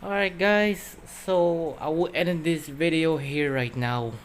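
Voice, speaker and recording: A man giving casual gameplay commentary in loose, halting, broken phrases. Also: fairly high pitch, fairly even intonation, slight background noise. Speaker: gameplay video host. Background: loud game music.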